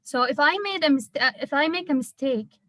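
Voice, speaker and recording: A young woman who speaks English presents to her class. She explains step by step and sometimes pauses. Her voice is high and dry, with no room echo. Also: a bit bright. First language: Arabic. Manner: careful, explanatory, slightly hesitant. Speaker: student.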